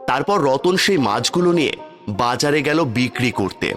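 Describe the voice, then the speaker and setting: Lively male storyteller over music. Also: mid-range voice, a little fast. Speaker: narrator. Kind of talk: scripted cartoon storytelling.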